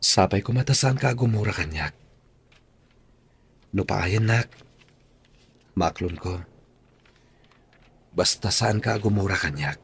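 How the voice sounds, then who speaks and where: An actor playing an earnest, tender young man in an Ilocano radio drama, speaking sincerely and deliberately with an Ilocano accent. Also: lowish voice, lively intonation, gravelly, slightly rough, heavily acted. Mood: tender, pleading.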